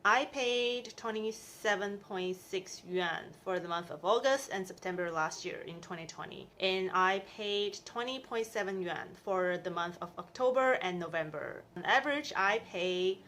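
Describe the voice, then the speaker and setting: A woman's voice: medium pitch, normal speed, hardly any pauses, fairly bright tone, a little hiss or hum. A woman in her thirties from Taiwan, talking chattily through her monthly costs in a vlog. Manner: chatty, upbeat, itemizing costs. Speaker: vlogger. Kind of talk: casual explainer vlog.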